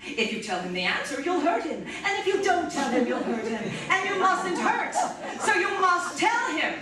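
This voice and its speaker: A woman's voice: very high voice, very animated, normal speed, crowd noise. A woman acting as a bitter, coldly relentless robot scientist in a radio drama, with intense, biting, dramatic delivery. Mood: relentless, cruel. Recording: echoey, distant, faint noise.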